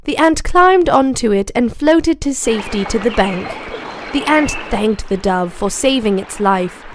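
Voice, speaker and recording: A woman's voice: a little high, lively intonation, unhurried, over music. A woman reading a scripted fable for children as a storyteller.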